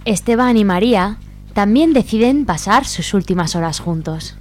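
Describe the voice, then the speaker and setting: A woman's voice: medium pitch, animated, moderate pace, darkish tone. A woman reading scripted narration for a comic radio serial.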